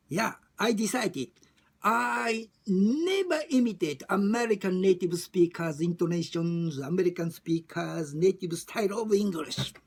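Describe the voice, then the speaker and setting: A woman with a Japanese accent speaking non-native English in a halting, emphatic way, repeating phrases as in an informal personal talk. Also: medium pitch, breathy edge. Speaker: discussion group chair and facilitator. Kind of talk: informal monologue about personal experience.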